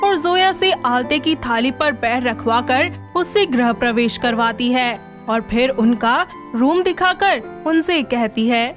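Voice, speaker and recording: A woman's voice: high-pitched, lively intonation, slow, hardly any pauses, fairly bright tone, soft background music. A woman narrator reading a scripted moral story.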